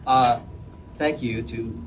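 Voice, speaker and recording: Host type, playful man, quick to laugh, chatting at a sandwich shop table with clear chatter and clatter behind him. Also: medium pitch, steady tone. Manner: playful and easily amused. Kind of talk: casual chat over a meal. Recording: noisy restaurant ambience.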